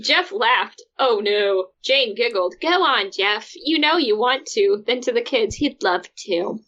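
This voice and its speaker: A woman with a high voice reads a story aloud on a casual comedy podcast. She keeps slipping into giggles between lines. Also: lively intonation, unhurried, bright, forceful tone, muffled recording. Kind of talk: casual comedic read-along.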